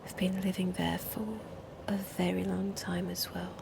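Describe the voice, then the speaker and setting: A woman voicing a centuries-old vampire woman with a French accent, speaking in a husky, whispery, sick voice in a slow, teasing, languid way for an audio roleplay. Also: lowish voice, monotone, noisy background. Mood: sly.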